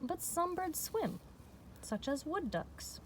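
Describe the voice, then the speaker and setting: Slow-paced woman reading aloud to children. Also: very high voice, very animated. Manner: explanatory, measured, pausing between phrases. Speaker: teacher reading a picture book aloud. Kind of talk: read-aloud lesson for young children. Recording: distant mic with faint background noise.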